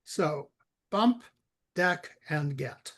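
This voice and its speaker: A man giving informal step-by-step coding instruction, halting and repeating words as he guides someone through it. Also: fairly high pitch, animated, a bit bright. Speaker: software expert guiding a coding session.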